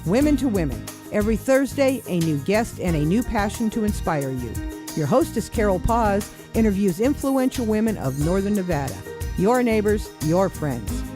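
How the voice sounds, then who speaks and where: A woman's voice: medium pitch, very animated, normal speed. A woman reading an upbeat scripted promo for a local TV show. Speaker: show announcer. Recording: voice-over with music bed.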